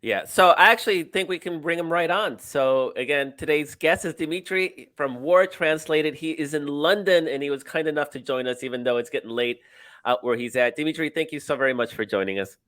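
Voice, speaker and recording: A man's voice: a little high, a little fast, a bit bright, no echo. A man hosting a political talk show over a video call, speaking eagerly and rambling with fillers. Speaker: podcast host.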